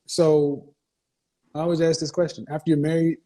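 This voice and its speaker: A man's voice: a little high, little pitch movement, normal speed, dark tone. A man hosting a call-in advice show, questioning a caller bluntly and cutting in often. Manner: blunt, interrupting, interrogating. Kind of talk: call-in interview.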